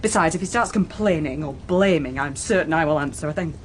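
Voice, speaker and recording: An actress playing a proud, volatile young wife in a gothic period radio drama, imperious, taunting and impassioned. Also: female voice, low-pitched, fairly quick, slight background noise, natural voice. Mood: agitated, scheming.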